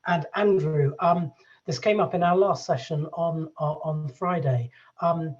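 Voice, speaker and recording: Moderator chairing a panel off the cuff, mellow even voice, bit muffled. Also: medium pitch, a little slow. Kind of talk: unscripted event moderation.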